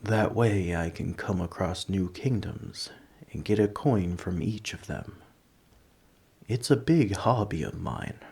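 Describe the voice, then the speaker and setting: A man playing a large, ancient, nerdy dragon in an ASMR roleplay, polite and earnest but a little huffy, with a soft voice. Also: sits low, lively intonation, slow, vocal fry, slightly rough, exaggerated voice. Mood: friendly, earnest. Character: large, ancient, nerdy gentle male dragon, politely indignant coin collector. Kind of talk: ASMR roleplay audio drama.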